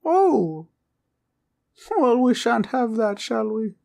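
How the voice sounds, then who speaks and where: A woman playing a sweet, innocent talking teddy bear in a comfort roleplay, speaking in a gentle, quaint, reassuring way. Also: on the high side, very animated, slow, vocal fry, heavily acted. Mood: innocent, agreeable. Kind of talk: roleplay comfort audio acting.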